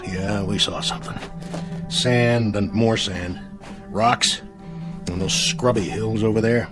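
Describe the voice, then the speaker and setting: A low-voiced man as a bitter, self-serving stranded spaceman. His delivery is sardonic and full of complaint, in radio drama style over clear background music. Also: steady tone, slow. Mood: bitter, weary. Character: bitter, selfish, desperate stranded spaceship crewman.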